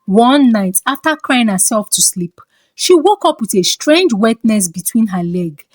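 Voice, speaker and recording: A woman with a Nigerian accent reads a scripted folktale in a dramatic, expressive storytelling manner. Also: fairly high pitch, unhurried, no echo.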